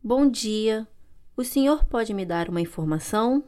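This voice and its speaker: A woman with a Brazilian Portuguese accent reading vocabulary and dialogue lines for a language course, clear and carefully articulated. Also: a little high, animated, a little slow, mellow. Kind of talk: slow scripted language-lesson reading.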